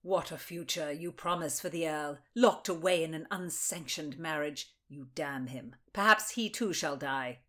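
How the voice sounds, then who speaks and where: A menacing noblewoman speaks low, slow and icy, as a woman plays her. Her threats come soft and controlled. It is a period audiobook reading. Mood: accusing. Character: cold, menacing noblewoman interrogator.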